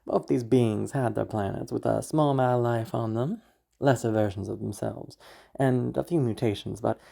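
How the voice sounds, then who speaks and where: A woman voicing a formal, courteous magical storytelling machine in a husky, measured, theatrical narration. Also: a bass voice, warm tone, heavily acted. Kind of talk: scripted narration.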